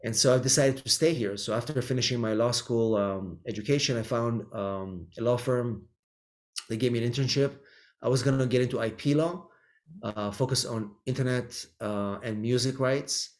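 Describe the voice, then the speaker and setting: A man's voice: medium pitch, moderate pace. A middle-aged man from Israel, an immigration lawyer, answering interview questions thoughtfully with frequent ums and restarts. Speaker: immigration attorney, guest.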